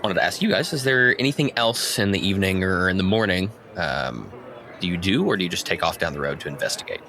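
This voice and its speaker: A man's voice: low voice, animated, quick pace, vocal fry, bright, forceful tone. A man acting as game master, narrating a tabletop roleplaying game in a conversational way.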